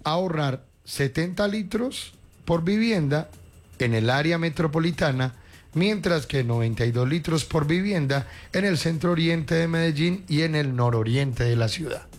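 A man's voice: fairly high pitch, lively intonation, normal speed. A man in his fifties with a Paisa Colombian accent hosts radio news, moving between reading stories and colloquial chat. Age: 50s. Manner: conversational, colloquial with diminutives. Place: radio studio. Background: music under the voice.

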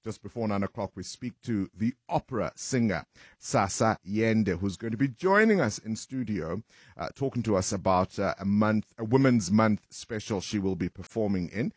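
A man's voice: lowish voice, expressive, normal speed, darkish tone, slightly rough, dry recording, studio quality. A man with a South African accent, hosting a live radio breakfast show with casual banter.

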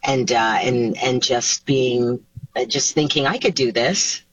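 A woman, a singer taking part in an interview over a video call, answering casually with animated pitch swoops and many restarts. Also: unhurried, breathy edge, no room sound. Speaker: singer, guest. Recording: dull, dry video call audio.

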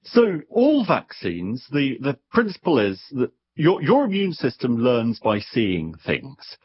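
A man speaking as an expert guest, explaining things conversationally in an animated, wry way, sometimes doubling back on his words. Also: mid-range voice, slow, husky, dull audio.

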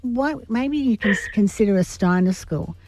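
A woman with a croaky, strained voice, giving psychic readings to radio callers in a chatty, advising way. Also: mid-range voice, animated, no room sound. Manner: chatty, giving advice warmly and quickly. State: croaky after vocal cord procedure. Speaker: psychic guest.

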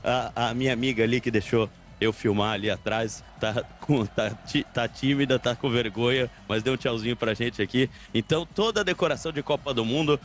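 A man's voice: mid-range voice, a little fast, slight background noise. A Brazilian man reporting live and informally on location, chatty, repetitive and rambling. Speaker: field reporter. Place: inside a station snack bar. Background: faint music.

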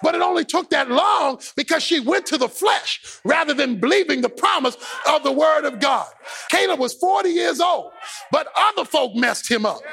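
A pastor preaching a sermon with passion, shouting in a repetitive, sing-song cadence. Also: male voice, very high voice, gravelly.

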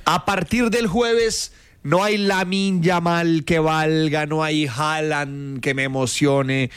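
A man's voice: on the high side, fairly even intonation, slow, clear, resonant tone, no room sound. A man from Colombia co-hosting a football talk show, speaking with passion and a declaiming flair. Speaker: sports podcast co-host. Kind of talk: casual football chat.

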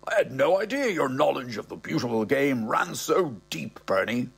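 A man's voice: medium pitch, sing-song, normal speed, vocal fry, breathy edge, soft background music, pitched above the performer's own voice, exaggerated voice. A man voicing a pompous, flustered elderly father with old-fashioned, theatrical exclamations in a comic audiobook story. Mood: pompous, doting.

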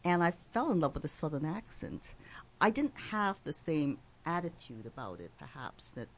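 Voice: medium pitch, very animated, moderate pace. A fiction writer who came to the US from abroad, talking playfully on a panel and laughing often. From outside the US. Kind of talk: conversational panel talk.

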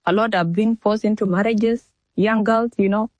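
A woman of nineteen speaks with a South Sudanese accent. Her pitch is average and she answers an interviewer frankly, repeating herself to press a point. The sound is dry. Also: steady tone, normal speed, darkish tone, no room sound. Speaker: fashion model, interviewee.

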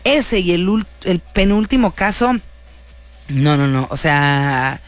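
Animated Mexican woman storyteller. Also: fairly low pitch, takes it slowly. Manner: animated, slangy, acts out quoted dialogue. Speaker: storyteller recounting true-crime cases. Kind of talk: casual chatty storytelling to an audience.

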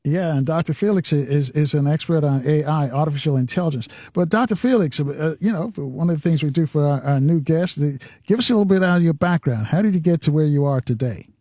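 A man hosting a live radio talk show, speaking in a halting way and repeating words as he goes. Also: a little high, dark tone, dry recording. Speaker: radio host. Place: radio studio.

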